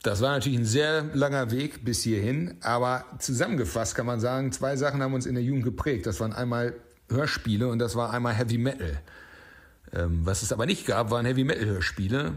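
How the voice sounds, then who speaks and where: A man with a slightly husky voice giving explanatory answers as an interview guest. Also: medium pitch, a little fast. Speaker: interview guest, audio drama writer.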